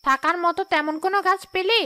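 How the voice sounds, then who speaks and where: A woman's voice: very high-pitched, quick pace, pushed and bright. A woman voicing a greedy, sly, snappish female ghost in a Bengali cartoon story. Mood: scolding. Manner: snappish, irritable, scolding.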